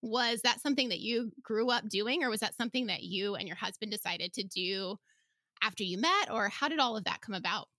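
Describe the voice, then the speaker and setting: A woman's voice: medium pitch, fairly quick, pushed and bright, no echo, clean studio sound. A woman hosting an upbeat podcast interview, chatty and enthusiastic. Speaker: podcast host.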